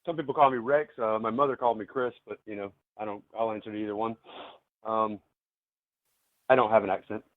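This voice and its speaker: A man's voice: fairly low pitch, fairly even intonation, brisk, long pauses. A man from South Alabama chatting casually as a radio guest. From South Alabama, United States. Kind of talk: casual chat. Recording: thin phone-like call line.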